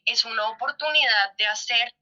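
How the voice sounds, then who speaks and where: A woman's voice: a little high, moderate pace, sharp tone, lo-fi sound. A young woman chatting casually and animatedly as an interview guest. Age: young adult. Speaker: interview guest, theatre artist.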